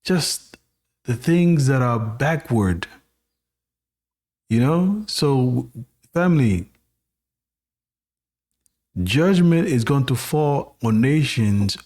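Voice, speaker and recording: A man hosting a spiritual podcast, speaking haltingly with many restarts and a sermon-like build. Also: mid-range voice, animated, a little mellow. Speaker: podcast host.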